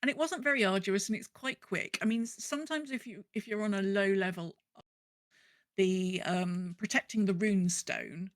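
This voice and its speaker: A woman's voice: mid-range voice, moderate pace, no echo. Casual woman podcaster, close dry sound. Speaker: podcast co-host. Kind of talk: casual chat about an online game.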